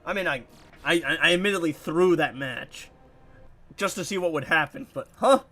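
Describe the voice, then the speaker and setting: A man's voice: on the high side, normal speed. A young man with a stuffy, nasal voice, chatting casually as he comments on his own gameplay. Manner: animated, puzzled, rambling. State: congested, nasal. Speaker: gaming YouTuber.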